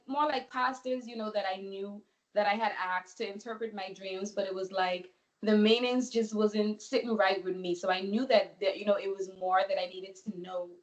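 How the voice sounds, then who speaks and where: A woman's voice: medium pitch, lo-fi sound. A woman from the Bahamas giving a casual, chatty testimony as a guest, with plenty of fillers. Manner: chatty, lots of fillers like "you know".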